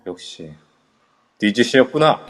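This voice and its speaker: A man's voice: medium pitch, very animated, unhurried. A man playing a brash young man leading a ghost-hunting group, commanding and cocky, in an amateur audio drama. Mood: defiant. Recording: long reverb over a voice app.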